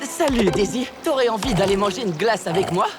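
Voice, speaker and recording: A woman's voice: medium pitch, lively intonation, brisk, loud music behind the voice, close to an everyday voice. A woman voicing an awkward, lovestruck young cartoon boy with a hesitant way of talking. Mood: awkward, hopeful. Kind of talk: French cartoon dub.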